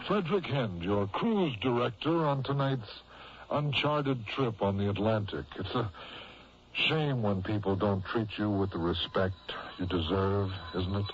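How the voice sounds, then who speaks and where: A man's voice: on the low side, unhurried, slight background noise. A man hosting a radio drama series, giving a theatrical scripted introduction and confiding directly in the listener. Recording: dull radio recording over music. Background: music.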